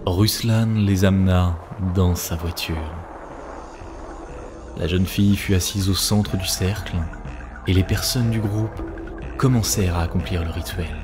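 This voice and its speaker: A man's voice: deep voice, steady tone, unhurried. A man narrating a scripted story for a cartoon, as a storyteller. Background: music.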